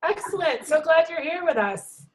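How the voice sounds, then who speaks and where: A woman's voice: extremely high pitch, lively intonation, normal speed. A woman hosting a group video call, upbeat and chatty as she welcomes people. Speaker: host. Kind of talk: casual video chat.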